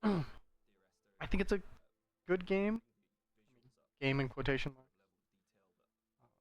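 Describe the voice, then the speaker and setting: A man's voice: high-pitched, expressive, slow, no echo. A man giving casual, rambling commentary over a video game in a conversational, hedging way. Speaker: gameplay commentator.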